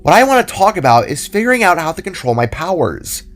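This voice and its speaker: A man's voice: on the high side, sing-song, fast talker, a bit bright, soft background music, pitched above the performer's own voice. A man playing a troubled, weary teenage boy in a fantasy audio story, dry and hesitant in manner.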